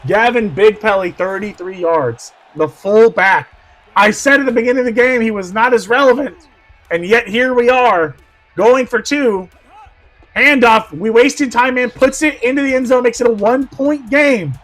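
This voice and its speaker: A man doing play-by-play football commentary, going hoarse, who swings from quiet asides to shouted excitement. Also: very high-pitched, moderate pace, faint music behind, low-quality recording.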